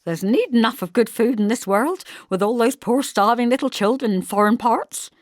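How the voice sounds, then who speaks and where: A woman's voice: a little high, animated, normal speed, no echo, clean studio sound, pitched above the performer's own voice, close to an everyday voice. Woman playing a fussing, homely country mother. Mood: indignant. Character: homely, fretful, indignant country mother, middle-aged.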